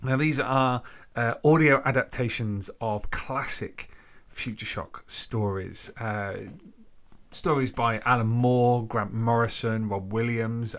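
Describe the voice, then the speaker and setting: A man hosting a podcast, giving an informal introduction in a halting way, thinking aloud between phrases. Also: medium pitch, a bit bright. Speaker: podcast host.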